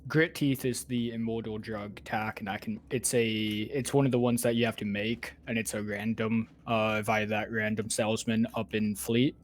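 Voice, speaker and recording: A man's voice: on the low side, flat delivery, normal speed, few pauses, slight background noise. A man chatting casually with fellow players over gaming voice chat. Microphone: online voice chat.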